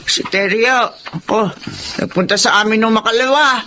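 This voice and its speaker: A woman playing a fretful, scolding but soft-hearted elderly grandmother in a Filipino radio drama, querulous, repeating herself and stammering. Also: fairly high pitch, normal speed, slight background noise. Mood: concerned, kindly.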